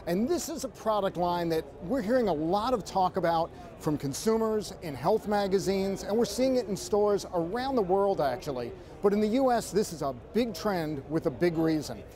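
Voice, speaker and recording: A man's voice: sits high, dark tone. A man reporting from a trade show floor, conversational and upbeat, turning to address the anchor by name as he walks through products. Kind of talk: walk-and-talk product report.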